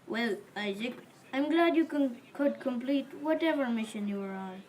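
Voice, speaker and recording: A woman plays a courteous, helpful male merchant in an amateur biblical radio play. The voice is fairly high, and the recording carries faint noise. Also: expressive, moderate pace, a little mellow, natural voice. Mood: friendly, curious. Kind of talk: amateur audio drama acting.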